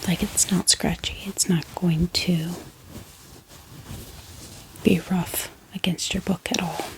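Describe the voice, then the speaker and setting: Female ASMR host, whispered throughout, breathy and gentle, lowish register, unhurried product showcase. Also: fairly even intonation, poor audio. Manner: unhurried, gentle, repeats words softly. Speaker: book haul video host.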